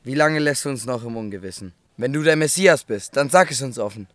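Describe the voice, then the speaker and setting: A man playing an angry, loud accuser from an ancient crowd in a German Bible audio drama. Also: mid-range voice, expressive, a little fast, lightly acted. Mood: impatient demanding.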